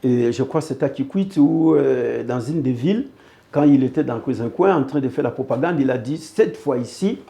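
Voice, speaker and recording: A man's voice: medium pitch, animated, moderate pace, vocal fry, mellow. A man from Congo speaking earnestly in a political interview, pressing his points by repeating phrases. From Congo (DRC). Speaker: interview guest.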